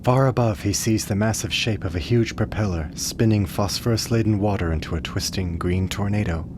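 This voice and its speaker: A man narrating a radio play as a scripted story, with a measured, literary delivery. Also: lowish voice, background noise.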